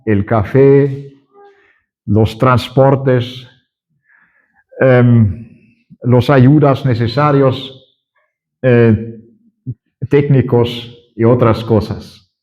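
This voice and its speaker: A man preaching a sermon in a language that is not his own, working through it very slowly and haltingly, with frequent fillers and restarts. His voice sits at an ordinary middle pitch. The recording is dull and lo-fi. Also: a little mellow. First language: not Spanish. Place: church conference hall.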